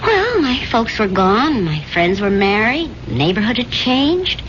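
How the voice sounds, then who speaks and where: A woman's voice: on the high side, sing-song, unhurried. An actress playing a wistful young woman reminiscing with her sweetheart in earnest, reflective 1970s radio drama style. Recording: dull, noisy 1970s radio recording.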